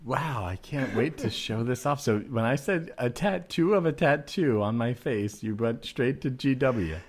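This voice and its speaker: A man's voice: medium pitch, expressive, a little slow. A man performing improvised comedy scenes as part of a show. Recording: background noise and added ambience. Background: added ambient soundscape.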